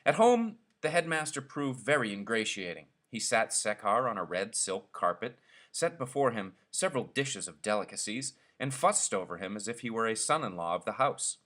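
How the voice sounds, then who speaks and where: A man's voice: on the low side, big pitch swings, moderate pace, a bit bright. A man narrating a short story expressively, as a reader telling it aloud.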